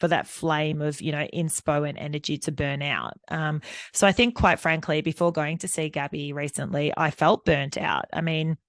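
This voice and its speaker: A woman's voice: sits low, fairly even intonation, moderate pace, dry recording. Candid woman podcast host. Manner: candid and rambling, with many fillers and restarts. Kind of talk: unscripted solo podcast monologue.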